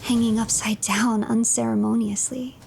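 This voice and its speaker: A woman narrating a game's story in a measured, dramatic way, often breathy and hushed. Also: fairly high pitch, level tone, slight background noise. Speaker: streamer narrating a game.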